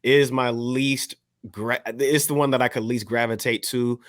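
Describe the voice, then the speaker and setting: A man bantering casually as a music podcast panelist, slangy and halting as he hedges his opinions. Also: mid-range voice, steady tone, no room sound, clean studio sound.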